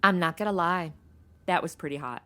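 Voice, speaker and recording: A woman's voice: fairly low pitch, a little fast, loud music behind the voice, close to an everyday voice. A young woman playing a flippant, indignant, slangy modern detective's assistant in a comedy audio drama. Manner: indignant, flippant, slangy valley-girl delivery.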